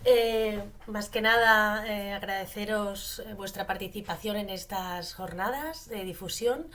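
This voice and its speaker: Brief: mid-pitched woman host, halting introduction, lots of fillers. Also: a bit bright. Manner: hesitant, many fillers. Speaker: webinar host. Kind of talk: introducing a webinar.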